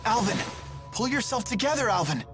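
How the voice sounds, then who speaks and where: Game role: caring teenage student hero, youthful, earnest, with highly varied pitch. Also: male voice, very animated, fairly quick. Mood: urgent. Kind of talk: English game dub acting. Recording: loud game music behind.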